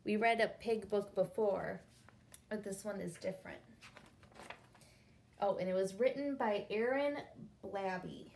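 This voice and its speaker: A woman's voice: medium pitch, unhurried, creaky, long pauses, a little mellow, a little hiss or hum. A woman in her thirties, a teacher reading a picture book expressively and explaining it simply for young children. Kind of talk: picture-book read-aloud with questions to children. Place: indoors, small room against a brick-patterned wall.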